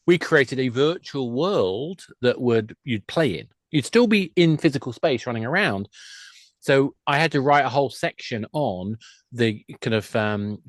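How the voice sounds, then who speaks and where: A man's voice: medium pitch, dry recording. A middle-aged man speaking as a podcast guest, chatting in a self-deprecating, conversational way about his work. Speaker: podcast guest, games industry expert. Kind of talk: conversational interview talk.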